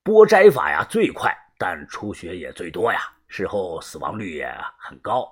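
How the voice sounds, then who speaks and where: A man doing a low gruff gang boss. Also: big pitch swings, moderate pace, full character voice. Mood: menacing. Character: rough gang boss, coarse and menacing.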